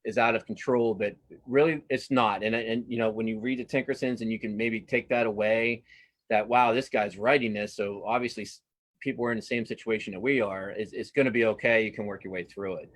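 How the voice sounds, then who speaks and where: A man with a fairly low voice talks casually as a guest in an interview. He speaks as a working cartoonist, circling back on his phrases and repeating words as he goes. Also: steady tone. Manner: halting, repeats words and restarts phrases often.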